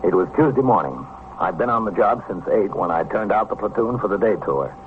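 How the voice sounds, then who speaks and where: A man's voice: on the low side, lively intonation, brisk, natural voice. A man playing an authoritative police captain, narrating and giving orders matter-of-factly in 1950s radio crime drama style. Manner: matter-of-fact, commanding. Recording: tinny, noisy old radio recording.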